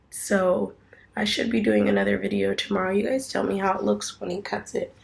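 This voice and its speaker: A woman's voice: medium pitch, vocal fry. A woman in her twenties talking casually to her viewers in a rambling home vlog, full of fillers and restarts. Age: 20s. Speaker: vlogger.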